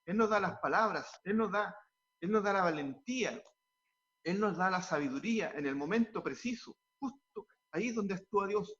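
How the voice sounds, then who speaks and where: A man's voice: high-pitched, animated, normal speed, a bit bright, highs cut off. A man, a pastor, answering interview questions earnestly, often repeating words as he restarts, and sliding into a preaching tone.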